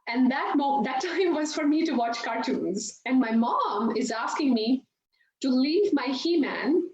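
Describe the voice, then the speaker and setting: A woman's voice: sits high, lively intonation, a little slow, a crowd in the background. A woman, a yoga instructor chatting as an interview guest and laughing often as she tells stories. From India. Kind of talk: casual interview storytelling. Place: her home yoga studio. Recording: roomy, dull video-call audio with faint noise.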